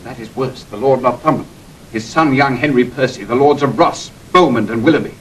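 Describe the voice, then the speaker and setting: A man acting a formal, mid-pitched messenger. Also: brisk, a bit bright, slight background noise, close to an everyday voice, poor audio. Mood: urgent, grave. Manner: formal, declamatory. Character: urgent, deferential male bearer of bad news. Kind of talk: classical Shakespearean radio acting.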